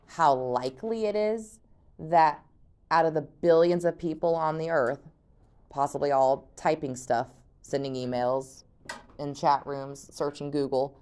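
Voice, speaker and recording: A woman's voice: deep voice, darkish tone. A woman teacher reading a novel aloud and chatting about it with her class in a conversational, thinking-aloud way.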